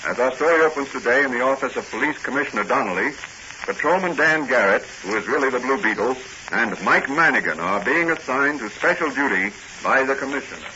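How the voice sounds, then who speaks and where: A male American announcer narrates in 1940s radio style. His voice is bright and forceful, on a dull recording with faint noise. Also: mid-range voice, expressive, fairly quick.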